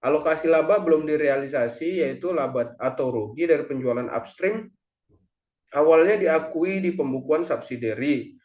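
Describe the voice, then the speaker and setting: A man's voice: on the high side, moderate pace, a little mellow. Echoey man giving an explanatory lecture. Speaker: accounting lecturer or presenter. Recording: echoey, reverberant room sound.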